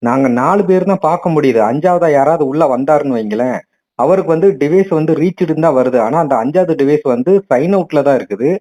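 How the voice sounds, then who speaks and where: A man's voice: fairly high pitch, quick pace, warm tone. A man with a Tamil accent, a customer politely and persistently describing a problem on a phone call. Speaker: customer calling support.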